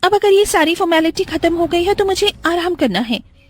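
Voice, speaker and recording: A woman's voice: very high-pitched, expressive, a little fast, voice pushed up. A woman voicing a spoiled, snobbish young rich bride who complains whinily and mixes in English words. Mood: whiny annoyance.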